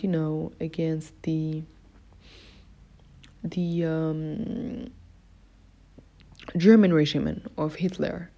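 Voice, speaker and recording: A woman's voice: fairly low pitch, mellow. A young adult woman from Morocco hosting a solo podcast, chatting casually with many fillers and self-corrections. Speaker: podcast host. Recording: dull lo-fi recording.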